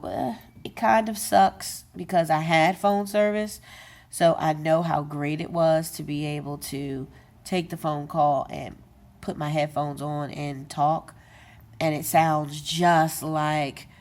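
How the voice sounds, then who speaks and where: A woman with a low voice explains a device step by step. She takes her time and talks off the cuff, with fillers and quick corrections. It sounds like a casual home tutorial. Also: steady tone, a little mellow. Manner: unscripted, with many fillers and self-corrections. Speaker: tech video presenter. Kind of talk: casual how-to demonstration.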